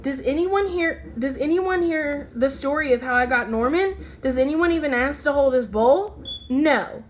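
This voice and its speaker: A woman reading a children's picture book aloud in an expressive, deliberate, animated storytelling manner. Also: high voice, a little hiss or hum.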